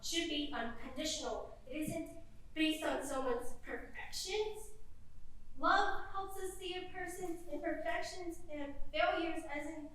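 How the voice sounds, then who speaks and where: A young woman playing a nurturing goddess of love on stage, soothing yet deliberate and emphatic. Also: sits high, fairly bright tone. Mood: earnest. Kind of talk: school stage play acting. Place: theater stage. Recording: echoey theater, distant mic, faint noise.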